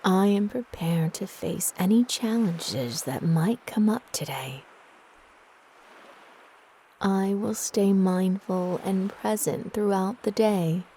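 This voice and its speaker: Slow, mellow woman guiding meditation. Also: mid-range voice, slight background noise. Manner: soothing, gentle, unhurried with long pauses. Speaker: meditation guide. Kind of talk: guided meditation narration.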